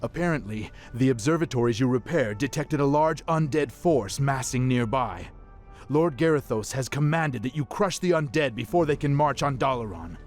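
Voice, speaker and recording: An actor playing a smug, officious human messenger relaying orders in a video game cutscene. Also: male voice, medium pitch, expressive, normal speed, faint music behind, natural voice.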